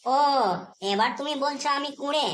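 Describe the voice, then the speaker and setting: Nagging wife for cartoon comedy, female performer: high voice, scolding, sarcastic, suspicious, always offended. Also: moderate pace, fairly bright tone. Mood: accusing, jealous. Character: nagging, suspicious, quick-to-take-offence Bengali housewife.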